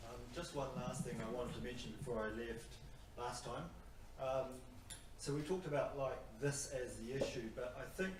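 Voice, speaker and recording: A man with a mid-pitched voice gives a thoughtful, informal comment at a public meeting. He is off-mic in an echoey room with clear background noise. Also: far from the mic.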